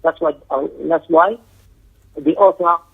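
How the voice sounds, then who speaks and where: A man's voice: fairly high pitch, measured pace, breathy edge. A man teaching a religious lesson in an emphatic manner, explaining a text and repeating phrases for stress. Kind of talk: Islamic lecture, explaining a text with Arabic recitation and quotations. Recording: tinny, narrow phone-like lecture audio.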